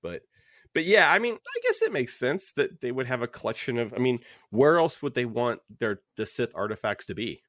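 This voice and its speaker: A man co-hosting a casual book club podcast, talking in an animated, rambling, joking way. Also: medium pitch, normal speed. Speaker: podcast co-host.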